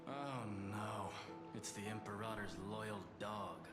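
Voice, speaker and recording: A man's voice: fairly low pitch, takes it slowly. An actor playing a wary townsman who gossips in a video game. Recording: echo under loud music.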